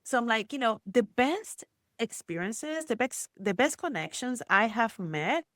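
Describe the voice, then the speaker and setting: A woman from Puerto Rico with a Puerto Rican accent, a guest entrepreneur telling her story in a chatty, animated way with many fillers. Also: fairly high pitch, measured pace, no room sound, studio quality. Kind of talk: casual interview chat.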